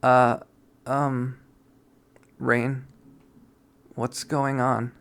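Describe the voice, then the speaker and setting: A man's voice: mid-range voice, level tone, drawn-out words, creaky, a bit bright, smooth, heavily acted. Man playing a dazed, hesitant protagonist. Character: dazed, confused, hesitant visual novel protagonist.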